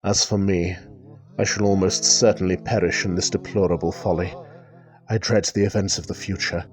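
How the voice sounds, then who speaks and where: An actor playing an anguished, reclusive gentleman in a gothic radio drama, speaking in a formal, fretful, melodramatic way. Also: sits low, fairly even intonation, moderate pace, natural voice. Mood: despairing dread. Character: anguished, nervous reclusive gentleman, doomed last heir.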